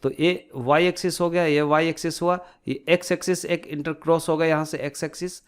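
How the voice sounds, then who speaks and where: A man's voice: fairly high pitch, steady tone, fairly quick, smooth. A man giving an informal step-by-step tutorial, explaining by asking and answering his own questions and mixing in English technical terms. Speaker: tutorial instructor.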